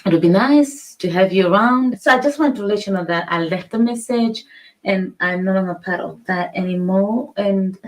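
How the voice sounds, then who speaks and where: A woman talking straight to her channel's followers in a gentle, earnest, plainspoken way. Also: mid-range voice, animated, normal speed, poor audio. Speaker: video creator. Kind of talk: direct-to-camera personal talk.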